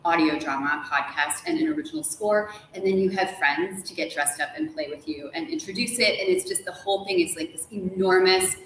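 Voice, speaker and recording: A woman's voice: lowish voice, lively intonation, fairly quick, few pauses, fairly bright tone. A woman in her forties, an enthusiastic interview guest, telling stories casually with a playfully dramatic flair. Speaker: guest author. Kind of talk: casual animated storytelling in a live interview. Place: large ornate hotel room, indoors. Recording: echoey room with faint background noise.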